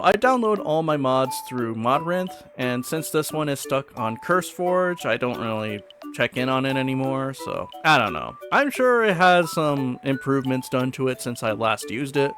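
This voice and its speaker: Man, animated intonation, medium pitch, informal and opinionated, casual video host commentary, clear background music. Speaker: gaming video creator and host.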